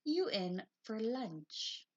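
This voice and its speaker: A woman's voice: on the high side, sing-song, very slow, a little mellow, lo-fi sound, full character voice. A woman voicing a sly, sugary-sweet, hungry witch in a picture book read-aloud. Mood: sweetly sly.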